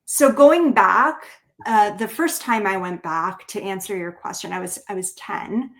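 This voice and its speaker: Slow, creaky-voiced American woman. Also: medium pitch. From Bulgaria, grew up in the United States. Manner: thoughtful, measured, searching carefully for words. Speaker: interview guest, writer and therapist. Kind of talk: reflective interview conversation.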